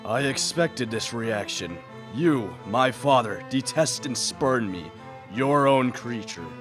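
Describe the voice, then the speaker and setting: A spurned, lonely creature, acted by a man, speaks slowly and with earnest weight. It is a radio drama performance with soft music underneath. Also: mid-range voice, lively intonation. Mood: menacing. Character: lonely, spurned man-made monster, pleading, menacing, childlike when newborn. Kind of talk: dramatic radio play acting.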